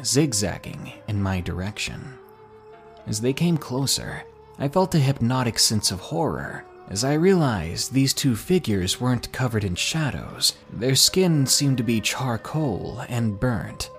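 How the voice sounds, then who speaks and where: A man narrating true ghost stories as a podcast host, in a measured, suspenseful storytelling manner. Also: lowish voice, fairly bright tone. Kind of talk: scripted horror story narration.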